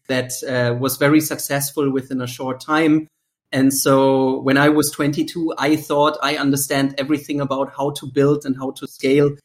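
German-accented founder telling his story. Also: mid-range voice. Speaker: startup founder and guest. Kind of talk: podcast interview.

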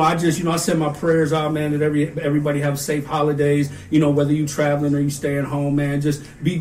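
Man, American accent, streetwise casual interviewer, higher-than-average pitch, a bit off-mic, faint noise. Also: a little mellow, echoey room, distant mic. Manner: earnest, colloquial, streetwise phrasing. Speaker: podcast host.

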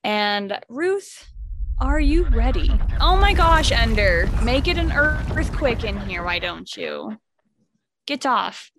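A woman's voice: fairly high pitch, very animated, slow pace, background noise. A woman hosting a podcast, chatting in an upbeat, encouraging way and laughing easily. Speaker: podcast host. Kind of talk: casual chat.

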